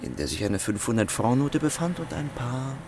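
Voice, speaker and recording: Suave, lively-voiced con man baron. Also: mid-range voice, moderate pace, heavy background noise. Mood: smug, precise. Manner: clipped orders, pompous, nonchalant, precise. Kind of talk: radio drama acting.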